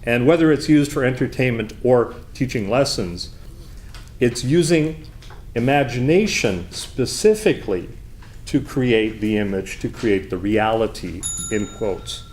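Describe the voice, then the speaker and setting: A man delivering an off-the-cuff debate speech as opposition leader, formal and deliberate, with halting phrasing. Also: mid-range voice, expressive, darkish tone. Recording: background noise, live room.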